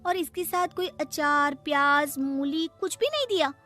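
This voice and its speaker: A very high-pitched, naive village schoolgirl, acted by a woman for a cartoon story. She is eager and stubborn, asking wide-eyed questions and pleading at an unhurried pace, with music behind her. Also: lively intonation, sharp tone, heavily acted. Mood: curious, insistent. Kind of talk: Hindi moral-story cartoon dubbing.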